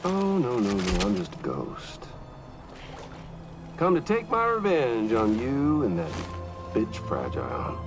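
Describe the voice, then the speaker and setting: An actor playing a taunting, vengeful video game villain back from the dead, gravelly and theatrical, drawing out his menace. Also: mid-range voice, wildly swooping pitch. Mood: gloating menace. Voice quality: gravelly, creaky. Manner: theatrical, mocking, drawn-out menace. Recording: loud music over the voice.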